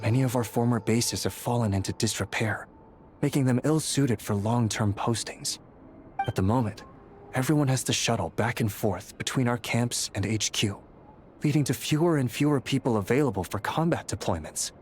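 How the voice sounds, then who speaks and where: A man's voice: mid-range voice, moderate pace, a little mellow, natural voice. A man voicing an earnest, dutiful young squad leader in a video game, explaining things in a friendly way. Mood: serious. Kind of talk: English video game character acting. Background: background music.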